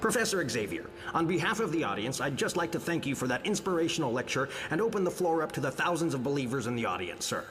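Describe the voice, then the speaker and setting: A big reverberant hall and a quick, high male voice. A man plays a gushing, formal event host in a motion comic. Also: sing-song, fairly bright tone. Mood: effusive. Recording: long hall reverb, faint rumble.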